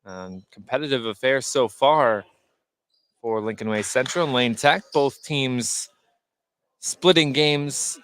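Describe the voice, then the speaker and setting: A man calling live high school basketball play-by-play as a radio commentator. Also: takes it slowly, clean tone. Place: indoor basketball gym during a game. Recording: noisy gym ambience, bouncing basketballs. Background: loud gym ambience, basketball bounces.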